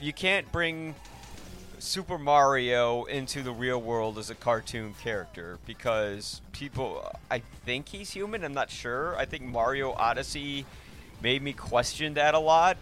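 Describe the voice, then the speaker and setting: A man's voice: medium pitch, a little slow, a bit bright, faint music behind. A man hosting a podcast, talking casually about films in a rambling, thinking-aloud way. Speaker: podcast host. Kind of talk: casual film discussion.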